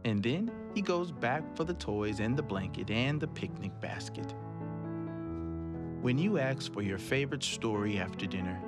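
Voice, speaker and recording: A man reading a children's picture book aloud in an expressive storytelling manner. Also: medium pitch. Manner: slow, lively storytelling voice. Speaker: children's read-aloud host. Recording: loud guitar music behind.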